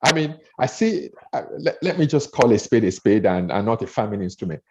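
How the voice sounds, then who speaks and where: A man's voice: on the low side, very animated, a little fast, dark tone, slight background noise. A man with a Nigerian accent coaching on public speaking, earnest, often repeating words as he builds his points. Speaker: speaker trainer. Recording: dull lo-fi audio.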